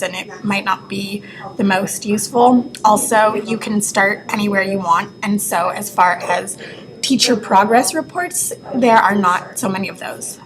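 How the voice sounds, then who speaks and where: A woman's voice: mid-range voice, low-quality recording. A woman giving an informal, explanatory walkthrough of an educational website as a reviewer. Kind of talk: casual screen-recorded walkthrough. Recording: clear background noise.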